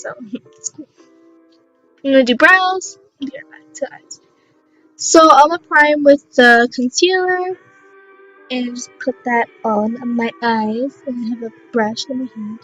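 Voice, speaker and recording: Raspy, higher-pitched young woman. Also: fairly bright tone, dull audio. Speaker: makeup tutorial vlogger. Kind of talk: casual makeup tutorial narration.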